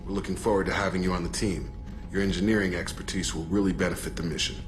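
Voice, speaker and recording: A man's voice: low voice, steady tone, quick pace, music in the background, close to an everyday voice. An actor playing a polite, welcoming male military officer in a video game. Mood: courteous. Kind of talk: video game cutscene acting.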